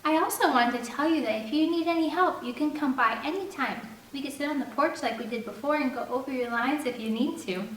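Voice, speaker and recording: A woman voicing a sweet, earnest, devout teenage girl who talks in a bright, polite way. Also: high-pitched, fairly quick, hardly any pauses, pitched above the performer's own voice, natural voice. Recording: echoey room, distant mic, faint air-conditioner hum.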